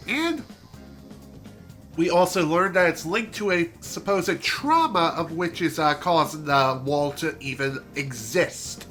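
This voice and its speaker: Congested nasal guy doing a casual game stream, bright voice, game music faint behind. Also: on the high side, lively intonation, takes it slowly. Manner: chatty, self-deprecating asides. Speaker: streamer playing a video game. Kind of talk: casual let's-play commentary.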